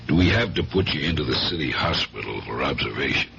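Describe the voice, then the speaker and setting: An actor playing a firm, blunt but concerned police lieutenant in a 1970s radio drama. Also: male voice, a bass voice, lively intonation, vocal fry, pushed and bright, full character voice. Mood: grave. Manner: blunt, measured, insistent. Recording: muffled, hissy 1970s radio broadcast.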